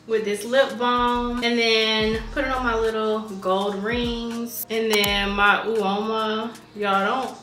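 A woman's voice: mid-range voice, bright, forceful tone. A young woman, chatting casually to the camera in her apartment and calling her viewers y'all. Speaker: lifestyle vlogger. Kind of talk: casual vlog talk to camera. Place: home apartment, indoors. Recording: roomy indoor echo.

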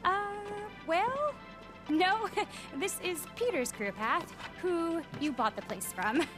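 A frazzled young female production assistant in a video game, tossing off sarcastic asides. Her voice is high and swings widely in pitch. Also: lively intonation, slow, over music, full character voice. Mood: harried.

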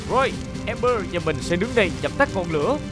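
A man's voice: sits high, lively intonation, fast, music in the background. A man voicing a young, confident rescue car leading a team in a cartoon dub, decisive and encouraging. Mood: commanding.

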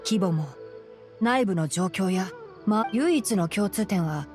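A woman playing a clever young male forest ranger in an anime game, boyish, crisp and dryly critical. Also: medium pitch, lively intonation, slow pace. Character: youthful male forest ranger captain, clever, brisk, sardonic. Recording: game music behind the voice.

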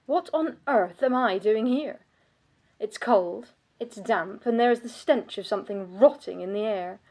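An actress playing a bitter, world-weary aristocratic vampire countess in a theatrical monologue, pompous and sardonic in manner. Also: female voice, fairly high pitch, a little mellow, natural voice. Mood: haughty, disgusted. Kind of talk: scripted dramatic monologue.

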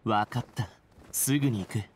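A man's voice: lowish voice, normal speed, a little hiss or hum, lightly acted. An actor playing an aloof young male wanderer in a video game, cool, curt and scornful. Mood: irritated. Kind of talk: Japanese game voice acting.

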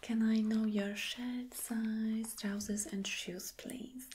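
A woman playing a flirty, caring boss in a soft, breathy, whispered ASMR roleplay, gentle and coaxing. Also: a little high, flat delivery, a little hiss or hum. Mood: flirty, businesslike. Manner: slow, gentle, coaxing, with hums of agreement. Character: flirty, caring female boss courting an employee. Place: small dim indoor room.

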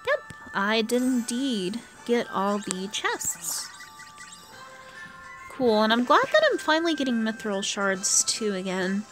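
A high-pitched American woman hosts a gaming video over clearly audible game music. She talks slowly and casually, sounding tired as she thinks aloud through her play. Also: fairly bright tone, poor audio. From US East Coast. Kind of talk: casual gameplay commentary.

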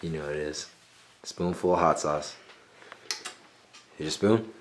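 A man's voice: a bass voice, a little slow. A young man hosting a challenge game with friends, explaining rules and calling countdowns in a small indoor room. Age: young adult. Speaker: game show host. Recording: clearly noisy room recording.

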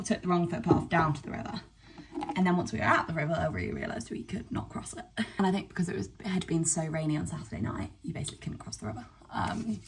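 Chatty young woman, breathy vlog voice. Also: fairly low pitch, recorded from a distance. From England. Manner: chatty, rambling with fillers and quick asides. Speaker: vlogger. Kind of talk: casual vlog chat. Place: cottage bedroom indoors, later outdoors on a walk. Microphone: camera at arm's length.

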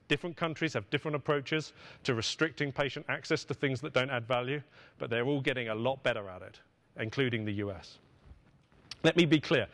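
A middle-aged man with a medium-pitched voice speaks from a conference stage. He delivers a prepared keynote in an executive register, setting out his phrases deliberately and pausing for emphasis. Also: fairly bright tone. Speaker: pharmaceutical finance executive giving a keynote. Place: on stage at a podium in a conference hall. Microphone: podium microphone.

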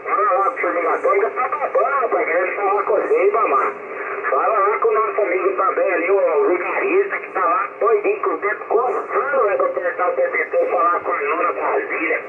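Through a tinny radio signal comes a high-pitched Brazilian man. He talks in casual slang as an operator directing the turns of other callers, with heavy static all around. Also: brisk. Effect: voice heard over shortwave radio. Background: loud radio static.

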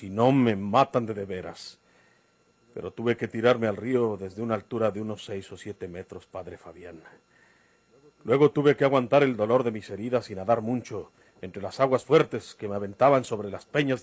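An actor playing a wily, menacing Mexican outlaw in a radio drama, with rustic, commanding speech. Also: male voice, on the low side, moderate pace, husky, no echo. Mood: grim, defiant. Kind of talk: golden-age radio drama acting.

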